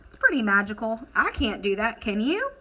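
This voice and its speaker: Female primary teacher, slightly high bright voice, slow deliberate dictation, encouraging question-and-answer delivery. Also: very animated, low-quality recording. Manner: encouraging, deliberate, dictating words as she writes. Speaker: elementary school teacher. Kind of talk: instructional lesson for children, question and answer.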